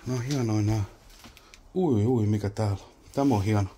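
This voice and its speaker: A man's voice: lowish voice, very noisy. A man exploring an abandoned wooden cabin, wondering aloud at old objects in casual vlog commentary, recorded on a handheld camera. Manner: muttering, wondering aloud while poking around.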